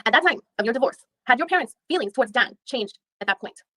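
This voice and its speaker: A woman reads a dogged female attorney working through a deposition. She fires off methodical questions at a very quick clip, often restarting mid-sentence. The sound is close and dry. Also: a little high, animated, fairly bright tone, no echo. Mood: probing. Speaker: reader in a deposition reenactment. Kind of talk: read-aloud legal reenactment.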